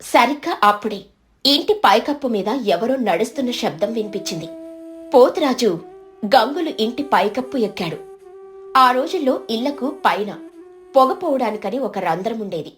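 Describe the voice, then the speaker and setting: A woman's voice: a little high, expressive, normal speed. A woman giving a scripted narration of a moral story as its storyteller.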